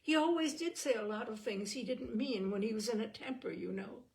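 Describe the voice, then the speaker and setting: A woman doing a gentle, anxious young lady. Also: on the high side, a little fast, voice pushed up, lightly acted. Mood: earnest.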